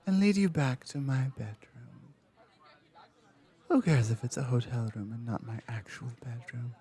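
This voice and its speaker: A woman voicing a confident, seductive woman in an intimate ASMR roleplay, slow, teasing and softly commanding, hushed and breathy at times. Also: extremely low pitch, voice pushed down, exaggerated voice.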